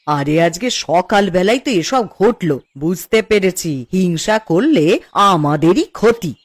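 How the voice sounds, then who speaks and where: A woman's voice: lowish voice, animated, unhurried, no echo. A woman playing an assertive, sensible housewife in a Bengali cartoon, speaking firmly. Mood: friendly, wise.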